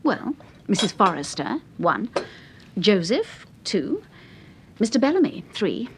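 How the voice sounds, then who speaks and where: A woman's voice: medium pitch, very animated, slow, background noise. A woman playing an inquisitive, sensible detective's wife in a British radio serial, polite, with Received Pronunciation. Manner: polite, questioning.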